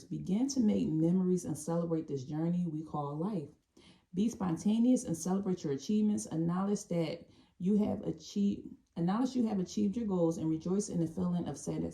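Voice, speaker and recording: A woman giving an intuitive tarot reading, talking in a calm, meandering way and often restarting or repeating phrases. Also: on the low side, moderate pace, vocal fry. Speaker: tarot reader.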